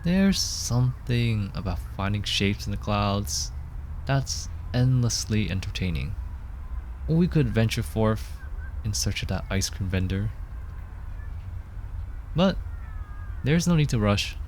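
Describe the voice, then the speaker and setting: Guy doing a sweet silly asmr boyfriend, really slow talking, kinda deep, mock-grand and playful on a picnic date. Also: expressive, a little mellow, a little hiss or hum, poor audio. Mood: playful, tender. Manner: mock-grand, whimsical, unhurried delivery. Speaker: ASMR roleplay performer. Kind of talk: scripted ASMR boyfriend roleplay. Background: park ambience sound effects.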